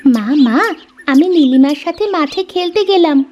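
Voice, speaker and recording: A woman as a high-pitched easygoing village girl. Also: expressive, measured pace, a little mellow, muffled recording, slight background noise. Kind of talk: cartoon story acting.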